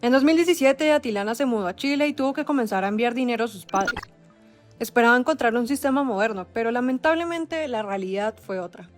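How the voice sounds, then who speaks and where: Woman, documentary narrator, measured dramatic delivery, fairly high voice, faint music underneath. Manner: measured, dramatic storytelling cadence. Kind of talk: scripted narration.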